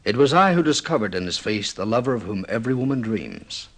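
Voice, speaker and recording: An actor playing a middle-aged film director, intense and mournfully insistent, with theatrical, deliberate delivery in vintage radio drama style. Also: male voice, lowish voice, sing-song. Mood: impassioned, persuasive.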